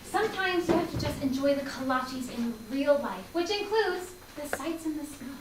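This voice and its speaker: Woman acting a cheeky high-voiced young schemer. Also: lively intonation, normal speed. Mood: breezy bluffing. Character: bold, cheeky young woman bluffing as a sweetheart. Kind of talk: staged play reading. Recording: echoey hall from far off, faint noise.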